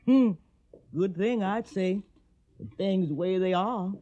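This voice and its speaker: An actress playing a devoted, plain-spoken older household servant with a husky voice and a Southern US accent, in a radio drama. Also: female voice, medium pitch, slow pace, mellow. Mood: disapproving, firm. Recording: slightly dull old radio recording.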